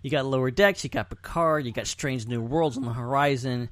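A man hosts a podcast in an informal, conversational way, with rambling enthusiasm. His mid-pitched voice is recorded dry, with very little room sound. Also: brisk, dry recording. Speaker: podcast host. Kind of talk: casual podcast chat.

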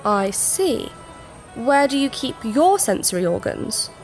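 A woman's voice: a little high, sing-song, takes it slowly, soft background music. A woman playing a scheming, haughty alien princess in a sci-fi audio drama, speaking in formal, dramatic phrases.

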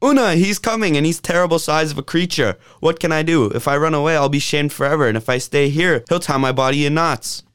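Man playing a fretful, high-voiced giant. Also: fairly quick, hardly any pauses, fairly bright tone, smooth, no echo, studio quality, lightly acted. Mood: worried. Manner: fretful, blustering. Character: cowardly, grumbling Irish giant husband. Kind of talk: radio drama acting.

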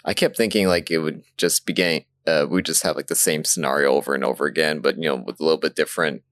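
A man's voice: extremely low pitch, steady tone, quick pace, fairly bright tone. A man co-hosting a podcast in casual, informal banter with some swearing. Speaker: podcast co-host. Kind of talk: casual anime discussion chat.

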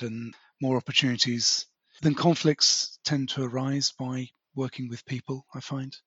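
A man hosting a podcast interview who asks relaxed follow-up questions and sums up what he hears. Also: medium pitch, slightly rough, no echo, highs cut off. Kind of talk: casual conversational interview.

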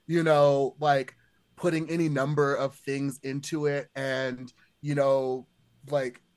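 A man with a middle-pitched voice speaks as a guest in a casual podcast discussion. He rambles, circling back through his sentences and leaning on "like" as he goes. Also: little pitch movement, takes it slowly, darkish tone, smooth.

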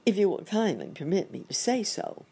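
Woman voicing a fretful, high-pitched monkey doctor. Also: big pitch swings, fairly quick, creaky, warm tone, breathy edge, heavily acted. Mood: overwhelmed, fretting. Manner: exclaiming, lamenting, wordy and polite. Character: flustered, self-pitying male monkey doctor of dolls.

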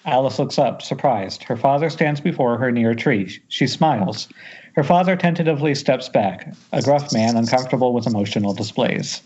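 A man's voice: mid-range voice, lively intonation, moderate pace, a little mellow, breathy edge. A man reading scripted stage directions as narrator at an amateur table read. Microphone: Skype call. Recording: dull lo-fi internet call.

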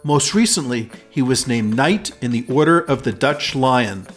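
A man hosting a podcast, reading a formal introduction and asking interview questions in a measured way. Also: mid-range voice, animated, faint music behind. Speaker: podcast host.

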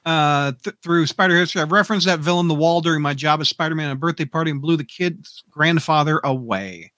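A man's voice: fairly high pitch, fast, sharp tone, dry recording, studio quality. A man hosting a podcast in casual chat, reading out comments and reacting to them.

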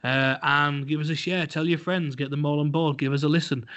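A man's voice: fairly high pitch, fairly even intonation, a little fast, a bit bright. A man co-hosting a casual podcast, talking bluntly and rambling with lots of fillers and restarts. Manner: blunt and a little crude. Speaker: podcast co-host. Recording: dull lo-fi podcast audio.

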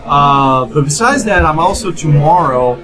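A man's voice: fairly high pitch, animated, unhurried, over music, rough recording. A man with a Brazilian accent, a developer advocate chatting casually as a guest on a tech panel, upbeat and friendly with many fillers.

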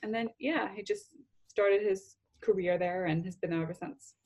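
A woman's voice: medium pitch, normal speed, darkish tone, low-quality recording. A young woman from the Pacific Northwest, a guest in a casual interview, chatty with frequent laughs and fillers. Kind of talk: casual conversation.

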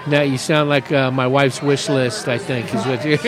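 A man's voice: mid-range voice, a little slow, crowd noise. Joking man in his fifties hosting. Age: 50s. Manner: jokey, rambling storyteller. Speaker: podcast host. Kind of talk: casual chat. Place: café.

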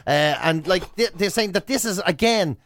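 A man's voice: high-pitched, lively intonation, normal speed, fairly bright tone, dry recording, studio quality. An Irish man co-hosting a radio show, telling stories in casual, colloquial comic banter. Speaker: radio show and podcast co-host. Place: close-miked dry studio.

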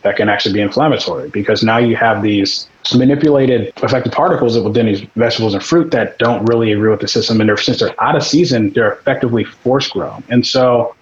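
A man's voice: mid-range voice, fast, hardly any pauses, highs cut off. A man in casual podcast chat, speaking bluntly with some swearing and acting out the people he quotes.

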